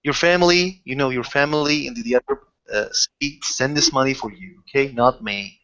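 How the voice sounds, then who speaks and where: A man playing a protective, insistent high-ranking werewolf in improvised tabletop roleplay, pleading in a halting, repetitive way. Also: sharp tone, dull audio. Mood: earnest, insistent.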